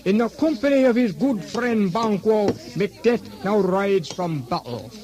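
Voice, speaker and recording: An actor playing a bardic storyteller, narrating a mock-heroic radio drama in a declamatory way. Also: male voice, sits high, animated, measured pace, a crowd in the background. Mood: grand, proclaiming.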